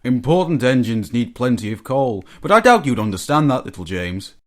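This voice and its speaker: Storybook big engine, male, proud and pompous, very animated delivery, acted by a man. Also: medium pitch, normal speed, close to an everyday voice. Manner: pompous, dismissive.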